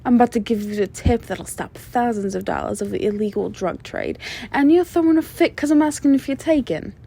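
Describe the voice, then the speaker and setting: A woman playing a flirtatious, menacing female mob boss in an ASMR roleplay, sly and self-assured, with a soft, teasing, sultry delivery. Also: fairly high pitch, lively intonation, gravelly, breathy edge, full character voice. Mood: mildly exasperated. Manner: teasing, sultry, drawn-out delivery with veiled threats. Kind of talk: scripted ASMR roleplay monologue addressed to listener.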